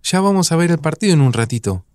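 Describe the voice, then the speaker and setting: A man's voice: fairly high pitch, animated, fast, a little mellow, no echo, studio quality, lightly acted. An actor with an Argentine accent playing an affectionate father who speaks in a casual, tender, reassuring way. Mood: warm. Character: affectionate father in his home.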